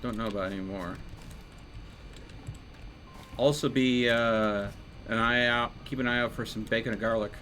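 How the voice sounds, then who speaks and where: A man's voice: mid-range voice, steady tone, normal speed, long pauses, sharp tone, slight background noise. A man giving casual live gameplay commentary, thinking aloud with playful exclamations. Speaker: video game streamer. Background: video game music.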